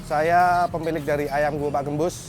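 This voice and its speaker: Higher-pitched man explaining, faint music behind. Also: level tone, normal speed. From Magelang, Central Java, Indonesia. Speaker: restaurant owner being featured. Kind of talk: informal explanation to camera.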